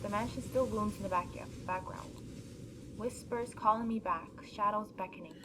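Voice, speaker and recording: A woman's voice: mid-range voice, moderate pace, noisy background. Woman narrating a visual novel. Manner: expressive first-person narration. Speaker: game narrator. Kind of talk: reading a visual novel aloud. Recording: background music.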